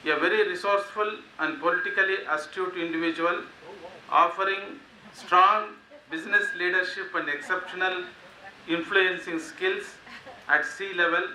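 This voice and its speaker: Very bright man introducing a speaker. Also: high-pitched, fairly even intonation, normal speed. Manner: formal, reading aloud. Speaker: conference moderator. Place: conference hall. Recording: echoey hall, faint hiss.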